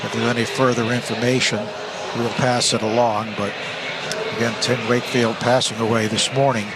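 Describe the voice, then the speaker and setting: A man, a play-by-play radio broadcaster, wrapping up a segment in a steady, formal delivery from the ballpark booth. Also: mid-range voice, normal speed. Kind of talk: live broadcast wrap-up. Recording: booth with loud ballpark noise.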